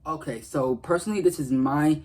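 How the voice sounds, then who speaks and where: A man's voice: on the high side, fairly quick, a little mellow. A man giving casual, earnest commentary on game dialogue in a rambling, repetitive way. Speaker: gaming video creator.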